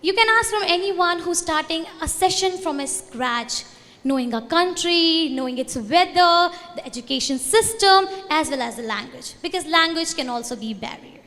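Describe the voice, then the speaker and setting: A young woman from India speaks with an Indian accent, her voice sitting high. She presents in earnest, phrasing things loosely and restarting, as a student group's representative at a conference. Her talk carries the long reverb of a large convention hall. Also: expressive, normal speed, bright, forceful tone. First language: Hindi. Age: young adult.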